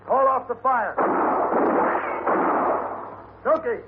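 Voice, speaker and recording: An actor playing a stern gunfighter calling out loud, commanding ultimatums in a 1950s Western radio drama. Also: male voice, extremely high pitch, very slow, full character voice. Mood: stern, threatening. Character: gunfighter shouting stern ultimatums at holed-up outlaws. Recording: muffled old radio recording, faint hiss.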